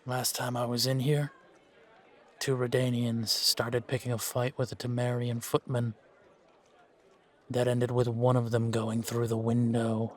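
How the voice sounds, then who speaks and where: Here is a man acting out a weary monster hunter from a fantasy audio drama. He talks slowly and sparely at a middling male pitch, with very little rise or fall. His manner is gruff, dry and aloof, deadpan even when he jokes. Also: natural voice. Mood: detached, grim. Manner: deadpan, unhurried, laconic. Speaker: voice actor in fan-made audio roleplay. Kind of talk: slow in-character audio roleplay.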